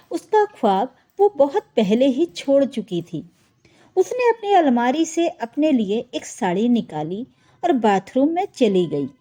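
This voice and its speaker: A woman's voice: high voice, mellow. A woman narrating a scripted family drama story as a storyteller.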